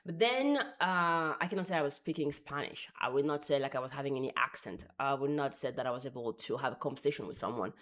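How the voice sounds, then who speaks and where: Young adult woman, deep for a woman; chatty vlogger, animated with wry asides. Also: fast talker, few pauses. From France. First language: French. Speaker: language vlogger. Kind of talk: casual talk to camera.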